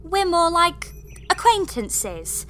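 High-pitched, exasperated spacewoman, played by an actress. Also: takes it slowly, soft background music, exaggerated voice. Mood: sarcastic. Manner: deadpan sarcasm rising to shrill exasperation. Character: exasperated, lonely woman space engineer, sarcastic and blunt. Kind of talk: comedy sci-fi audio drama acting.

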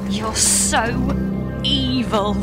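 An actress playing a sarcastic, exasperated young heroine in a sci-fi audio comedy, blunt and incredulous. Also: female voice, fairly high pitch, drawn-out words. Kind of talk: audio comedy drama acting. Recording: music under the voice.

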